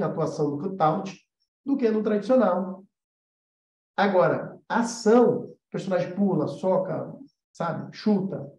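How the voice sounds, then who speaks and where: A Brazilian man teaches in an informal, explanatory way, with a high-pitched yet soft-edged, mellow voice. He stresses his points by repeating them. The recording has a noticeable room echo. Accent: Brazilian Portuguese. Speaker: animation teacher and studio animator. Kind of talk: informal live-stream lesson. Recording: roomy echo, slightly dull sound.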